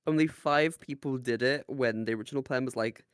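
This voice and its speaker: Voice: medium pitch, brisk, clean tone, dry recording, clean studio sound. A giggly, self-mocking podcast co-host who swears casually while chatting.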